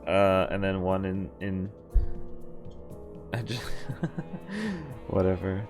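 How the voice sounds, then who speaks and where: A man's voice: low-pitched, big pitch swings, extremely slow, over music. A man streaming a visual novel, reading its text aloud and dropping in casual reactions.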